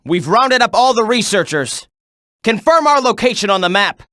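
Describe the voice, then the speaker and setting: An actor voicing a military soldier in a video game, giving urgent, clipped combat reports and orders. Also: male voice, sits high, sing-song, normal speed, bright, forceful tone, dry recording, clean studio sound, exaggerated voice. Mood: urgent, commanding. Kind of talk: video game battle voice clips.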